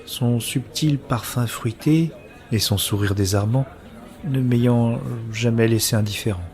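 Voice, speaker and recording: An actor playing a brooding, anguished man who narrates his own science-fiction story in measured, literary, introspective phrasing. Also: medium pitch, a little mellow, natural voice. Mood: wistful, contemplative. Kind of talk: scripted first-person narration of sci-fi audio drama.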